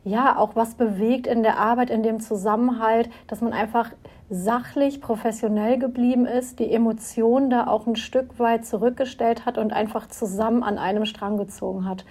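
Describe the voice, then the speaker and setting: Mellow-voiced woman interviewer, flat delivery. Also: on the high side, normal speed, breathy edge. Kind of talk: conversational interview.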